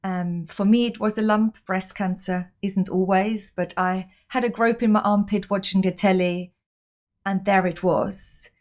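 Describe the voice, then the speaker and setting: A woman's voice: medium pitch, steady tone, unhurried. A woman from Austria, a podcast guest telling her personal story in an open, reflective way.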